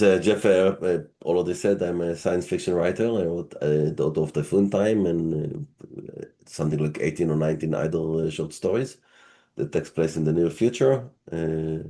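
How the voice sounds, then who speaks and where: A middle-aged man with an Israeli accent, speaking as a guest on a panel and explaining things in a measured way with halting fillers. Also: sits low, mellow. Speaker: guest, science fiction writer. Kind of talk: panel discussion.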